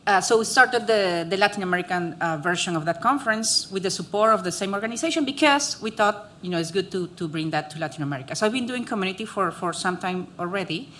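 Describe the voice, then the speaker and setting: A woman's voice: mid-range voice, lively intonation, quick pace, hardly any pauses, a bit bright, a little hiss or hum. A woman, speaking on a panel with many fillers and restarts. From Peru. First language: Spanish. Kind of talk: conversational panel talk.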